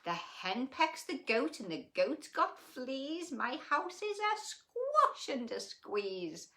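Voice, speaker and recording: A woman's voice: sits high, sing-song, slow pace, sharp tone, full character voice. A woman voicing a fussy little old lady who exclaims shrilly and frets about her crowded house. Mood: fretful.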